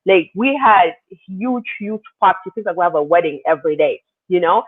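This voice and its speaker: A man's voice: sits high, lively intonation, normal speed, breathy edge. A man from South Sudan, a guest in an interview, telling his story in a loose, rambling way with lots of fillers. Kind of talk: casual interview answers. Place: living in Canada. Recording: tinny phone line.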